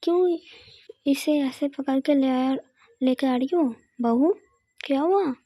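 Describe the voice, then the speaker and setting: A woman's voice: high voice, slow pace, no echo, dull audio. Woman doing a teasing middle-aged mother-in-law. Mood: questioning.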